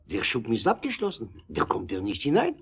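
Animated, husky businessman. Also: mid-range voice, fairly quick, heavily acted. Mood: puzzled. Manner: animated, exclaiming, interjecting French words. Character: excitable French businessman, Benz's partner Roger. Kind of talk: German audio drama acting.

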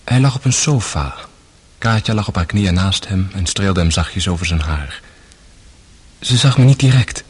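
Husky, earnest man. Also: deep voice, lively intonation, normal speed. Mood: grave, reflective. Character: gentle, earnest brother, also narrating the story. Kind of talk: radio drama acting and narration.

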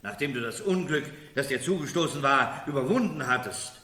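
An actor in a 1970s radio drama as a sceptical, exasperated gentleman, emphatic and argumentative. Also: medium pitch, animated, brisk, reverberant. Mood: reproachful. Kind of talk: 1970s German-language radio play acting.

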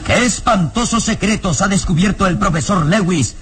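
A man narrating a radio serial in a solemn, suspenseful style with deliberate phrasing. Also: high voice. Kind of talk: dramatic scripted radionovela narration. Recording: radio drama with clear music bed.

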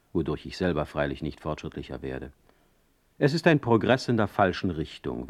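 An actor playing a witty, sardonic, ailing middle-aged poet in a radio play, speaking with eloquent, mocking irony. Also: male voice, low-pitched, a little mellow. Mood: wry, resigned. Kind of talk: radio play acting with letter narration.

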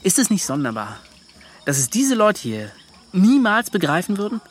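An actor playing a proud yet self-doubting young adventurer in a German radio play, with a halting, emphatic delivery. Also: male voice, sits high, very animated. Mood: confiding, earnest. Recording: music behind the voice.

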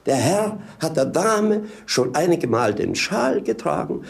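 A mocking, exuberant jester, fairly high in pitch with a creaky edge. He declaims theatrically and with great swings of tone, as in a 1950s radio drama. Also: sing-song, unhurried, heavily acted. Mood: wry, mocking. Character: mocking, exuberant male jester companion of a prince. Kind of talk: 1950s German radio play acting. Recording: 1950s radio play recording.

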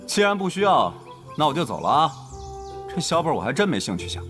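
A man playing a proud, sarcastic young tank player in a Chinese audio drama, mocking and quick to bristle. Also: on the high side, very animated, a bit bright, faint music behind. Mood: scornful. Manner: mocking, haughty, challenging.

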